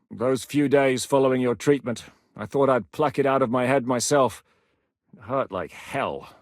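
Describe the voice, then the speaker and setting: An actor playing a battle-hardened medieval rebel commander in a video game, gruff and dry-humoured, with a blunt, commanding, sardonic manner. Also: male voice, medium pitch, no room sound, close to an everyday voice. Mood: wry, resolute. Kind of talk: dramatic video game character acting.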